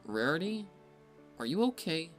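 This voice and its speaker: Cartoon kid sister pony, girl woman performing: raised pitch, earnest, persistent, encouraging, over clear music. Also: sing-song, unhurried. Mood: concerned. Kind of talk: dramatic fan fiction reading, cartoon voice acting. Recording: music behind the voice.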